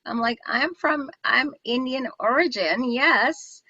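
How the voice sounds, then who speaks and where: A woman's voice: high voice, takes it slowly, bright, forceful tone, no room sound, dull audio. A woman from Guyana hosting an interview, chatting enthusiastically with many restarts. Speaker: show host. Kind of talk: casual interview chat.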